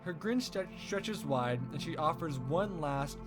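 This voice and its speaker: A man narrates a visual novel as he plays it. His voice is fairly high and swings widely in pitch as he reads expressively. Faint music sits behind him. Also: animated, unhurried. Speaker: let's play host reading visual novel. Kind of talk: expressive read-aloud narration.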